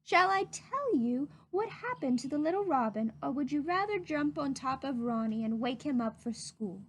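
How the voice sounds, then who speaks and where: A woman reading a storybook, voicing a gentle, reassuring mother raccoon who speaks tenderly and patiently. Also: sits high, very animated, normal speed, a little hiss or hum. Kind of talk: read-aloud children's storybook.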